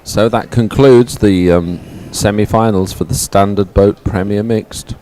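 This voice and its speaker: A man's voice: fairly low pitch, fairly even intonation, measured pace, warm tone, a little hiss or hum. A man co-hosting live race commentary and reading out results, talking in an enthusiastic, wordy way. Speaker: sports commentator, co-host.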